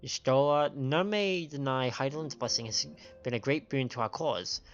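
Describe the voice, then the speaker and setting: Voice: medium pitch, moderate pace. A game streamer with an androgynous voice, reading dialogue aloud and commenting live, often stumbling over the written lines. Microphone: microphone on a stand. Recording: dull lo-fi stream with game music. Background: game music.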